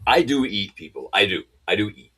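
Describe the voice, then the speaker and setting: A co-host in a casual live chat, making dry, deadpan remarks. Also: deep voice, brisk, breathy edge, low-quality recording.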